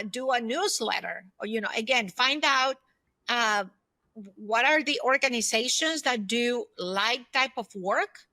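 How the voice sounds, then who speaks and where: A woman's voice: on the high side, sharp tone, dry recording. A woman in her fifties speaking conversationally as an interview guest, giving advice emphatically and often checking for agreement. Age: 50s. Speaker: podcast guest, former aerospace executive.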